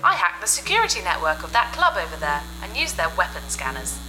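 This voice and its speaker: A woman's voice: lowish voice, wildly swooping pitch, a little fast, pushed and bright, slight background noise, heavily acted, rough recording. A woman voicing a blunt, suspicious artificial intelligence companion in a sci-fi audio drama, terse and snarky. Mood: wary, snarky.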